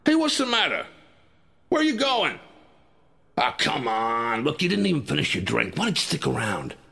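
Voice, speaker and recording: A man voicing a seedy American huckster bird with a gravelly husky rasp, cajoling and menacing in slangy hard-sell patter for an audio drama. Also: on the high side, huge pitch swings, moderate pace, bright, forceful tone, voice pushed up, exaggerated voice. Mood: wheedling, desperate.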